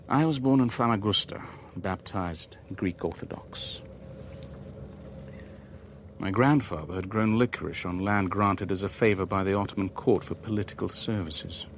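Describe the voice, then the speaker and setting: A man's voice: fairly low pitch, a little hiss or hum, lightly acted. An actor playing a worldly, wry Levantine businessman who narrates a radio drama in a dry, clipped way. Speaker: narrator and lead character. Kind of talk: radio drama first-person narration and dialogue.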